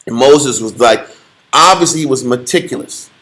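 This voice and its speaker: A man's voice: medium pitch, lively intonation, normal speed, fairly bright tone. A man leading a casual Bible study in a blunt, joking, slangy way.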